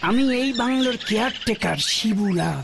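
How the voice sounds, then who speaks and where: Creaky-voiced, humble cartoon caretaker. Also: male voice, sits high, lively intonation, moderate pace, noisy background. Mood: deferential, wary. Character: humble, wary male bungalow caretaker. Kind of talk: dramatized cartoon dialogue.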